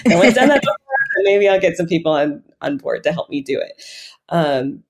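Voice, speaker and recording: A woman in casual, reflective conversation, candid and often halting as she corrects herself. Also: lowish voice, big pitch swings.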